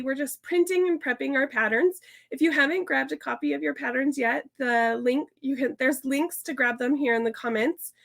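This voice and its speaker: A chatty woman walks viewers through a sewing project. Her voice is hoarse and stuffy from illness, with a slightly high pitch and a gravelly rasp. She talks upbeat and loose, full of fillers. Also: bright, forceful tone. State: hoarse and stuffy from a sinus infection. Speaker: sewing tutorial host. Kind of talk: casual sew-along tutorial chat.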